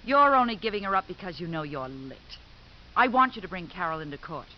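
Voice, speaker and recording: An actress playing a cold, vindictive society ex-wife in a 1950s radio drama, clipped, cutting and sarcastic. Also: female voice, lowish voice, big pitch swings, brisk. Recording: dull, hissy old radio recording.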